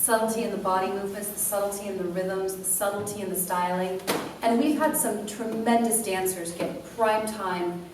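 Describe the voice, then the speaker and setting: A woman co-hosting a talk about dance before a live audience, explaining in a steady way. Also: medium pitch, fairly quick, very echoey, distant mic, background noise. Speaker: dance instructor and show co-host. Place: event room with a live audience.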